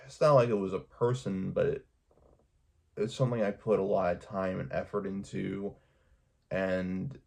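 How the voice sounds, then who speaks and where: A man talking casually to his viewers in a personal vlog, hesitant and halting, often repeating his words. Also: sits low, creaky, a little mellow, husky. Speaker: video creator talking to viewers.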